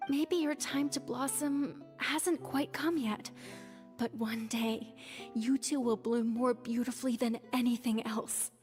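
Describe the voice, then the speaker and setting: Casting: actress playing tender, loving game mother, fairly high voice, unhurried and soothing. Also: fairly even intonation, a little mellow, natural voice. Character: gentle, loving, self-sacrificing young mother. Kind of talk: video game voice acting. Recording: long reverb, faint music behind.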